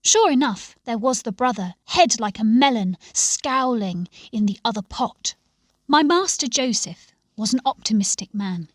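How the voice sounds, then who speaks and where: A woman reading a scripted story aloud in a measured, expressive storytelling manner. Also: on the high side, no echo. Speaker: story reader.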